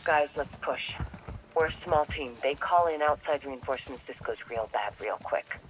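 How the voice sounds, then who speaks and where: A wary male soldier with a slightly high voice played by an actor speaks in urgent, plain-spoken squad dialogue. The delivery suits a military video game. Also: normal speed, close to an everyday voice, rough recording. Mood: uneasy, urgent.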